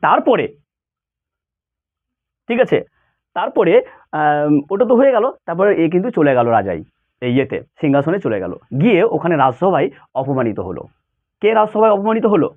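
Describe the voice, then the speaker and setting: A man teaches in an informal, conversational way, pitched a little high with lively swings. He keeps asking short questions to check that his students follow. The sound is thin and narrow, like a phone. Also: moderate pace, long pauses. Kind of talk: informal lesson, explaining a story to school students.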